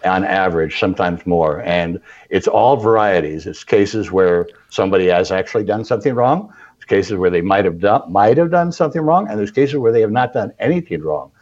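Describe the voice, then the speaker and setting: A man's voice: on the low side, lively intonation, brisk, a little mellow, muffled recording. A man answering interview questions as a crisis management consultant, earnest and emphatic with some stammered starts.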